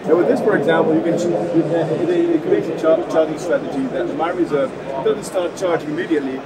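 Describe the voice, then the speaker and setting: A man in his thirties explains a product at an exhibition stand, his voice a touch high. He trips over and repeats his words as he goes. The recording carries heavy noise from a big indoor hall. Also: steady tone. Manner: halting, many repeated word restarts. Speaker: company representative. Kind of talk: product demonstration at a trade stand.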